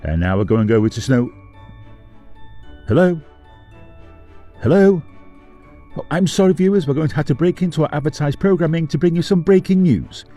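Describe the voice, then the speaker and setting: An actor playing a polite fairytale TV channel presenter, linking items in spoof continuity style. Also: male voice, fairly high pitch, animated, moderate pace, pauses often, mellow, soft background music. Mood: polite, announcing.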